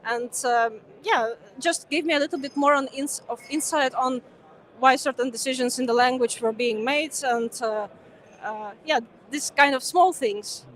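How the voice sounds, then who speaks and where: A young woman with a high voice speaks in a relaxed, hesitant way, often pausing on fillers. Her first language is Ukrainian, and she talks as an interview guest at a busy conference. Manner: hesitant, many uh fillers, laughs lightly.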